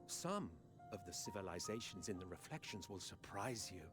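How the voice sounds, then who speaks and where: An actor playing a proud, defeated villain in a video game, grandiloquent and disdainful. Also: male voice, lowish voice, lively intonation, normal speed, lightly acted. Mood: wry, lecturing. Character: proud, theatrical, defeated male villain, imperious and wry. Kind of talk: dramatic video game acting. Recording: orchestral music under the voice.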